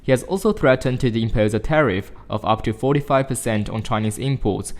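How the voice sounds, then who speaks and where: A man reading short study passages aloud in a measured, formal way. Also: medium pitch. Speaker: reader of practice passages. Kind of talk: scripted read-aloud of study texts.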